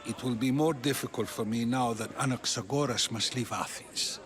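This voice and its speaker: An actor playing a probing, articulate ancient Athenian philosopher in a video game, musing and questioning. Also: male voice, mid-range voice, normal speed, natural voice. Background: game music.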